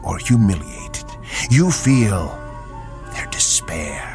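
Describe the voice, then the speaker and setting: A menacing radio-drama tormentor who speaks slowly and deliberately. His mid-pitched voice swoops widely as he taunts with cold, clinical mockery. Music runs clearly beneath him. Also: big pitch swings, exaggerated voice. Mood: quietly sinister. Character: menacing calm man tormenting a doctor, coldly mocking.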